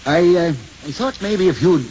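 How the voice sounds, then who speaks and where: A man's voice: a little high, lively intonation, unhurried, darkish tone. An actor playing a plain-spoken, dutiful beat policeman, clipped and matter-of-fact, in a 1950s radio drama. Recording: muffled, hissy 1950s radio recording.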